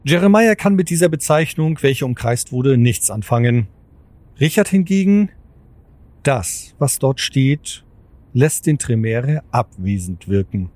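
A man's voice: mid-range voice, very animated, a little slow, a little mellow, dry recording. A man reading scripted narration for an audio drama in the role of storyteller. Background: clear background music.

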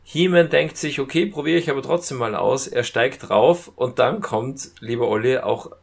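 A man casually reviewing as a podcast co-host, retelling scenes in a halting way. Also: mid-range voice, creaky. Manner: halting retelling with acted-out quotes.

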